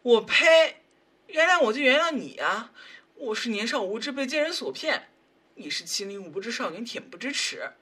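A woman's voice: a little high, lively intonation, normal speed, pushed and bright, slightly rough, full character voice. A woman playing a cocky, hot-tempered young man in an audio drama, whiny, mocking and foul-mouthed. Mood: outraged.

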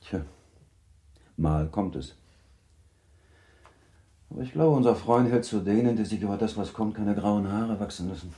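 An actor playing a composed, worldly middle-aged baron and husband in a German radio play, measured and slightly paternal as he advises. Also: lowish voice, dark tone, reverberant, lightly acted. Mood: measured, cautioning.

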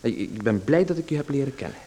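A man's voice: medium pitch, big pitch swings, fast talker, mellow, husky. A man playing a flustered, apologetic playwright. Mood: nervous. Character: nervous, overly polite male playwright. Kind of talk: radio play acting.

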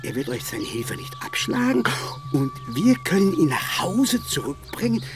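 Village priest for radio drama: courteous schemer, high voice, lively swoops, prominent music behind. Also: male voice, normal speed. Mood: plotting. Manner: courteous, instructive. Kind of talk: German radio play acting.

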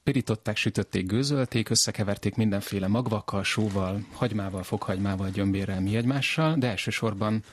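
Male expert guest, fairly deep husky voice, explanatory interview delivery. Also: few pauses. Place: radio studio.

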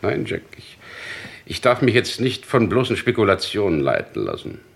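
Insistent, skeptical detective for radio crime drama; lowish voice, very varied intonation. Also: male voice, wildly swooping pitch, normal speed, vocal fry, heavily acted. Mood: pensive. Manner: questioning, methodical, polite but insistent. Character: probing, skeptical male police detective leading an investigation. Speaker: actor in a crime radio play.

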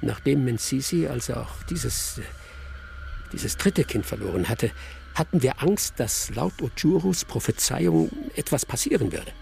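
A gravelly male storyteller, a village hunter in a radio play. He recounts events in grave, measured phrases at a middle pitch, with music playing clearly underneath. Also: sing-song, a little mellow, heavily acted. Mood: somber, measured. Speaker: narrator. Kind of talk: dramatic first-person radio play narration.

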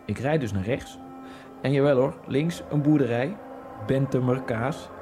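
An actor voices a man recalling his new life in a brooding, dreamy inner monologue, now and then bursting out. His pitch sits in a middle register. Music plays clearly beneath the voice. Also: a little slow. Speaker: Egyptian immigrant narrator. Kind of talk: radio drama monologue.

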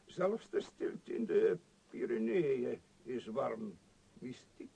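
An actor playing a worldly, courteous vagabond who muses lyrically in a radio play, with a gravelly, husky voice. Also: male voice, mid-range voice, sing-song, warm tone, exaggerated voice. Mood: musing, lyrical. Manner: ruminative, lyrical, unhurried. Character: worldly, musing vagabond man, philosophical and courteous. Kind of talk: Dutch radio play acting.

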